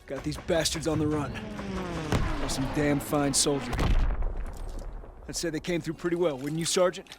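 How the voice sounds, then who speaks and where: Man playing a proud, high-voiced army officer. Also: steady tone, moderate pace, long pauses, loud music behind the voice, close to an everyday voice. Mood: proud, approving.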